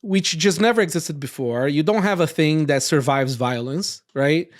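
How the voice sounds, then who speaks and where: A man from Brazil hosting a casual roundtable podcast, rambling and often tagging his points with quick questions. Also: a little high. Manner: rambling, frequent tag questions. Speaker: podcast host.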